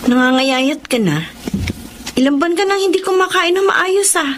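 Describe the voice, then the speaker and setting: An actress playing an anxious, caring wife in a radio drama. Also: female voice, extremely high pitch, lively intonation, moderate pace, slight background noise. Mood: worried. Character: worried young wife and mother.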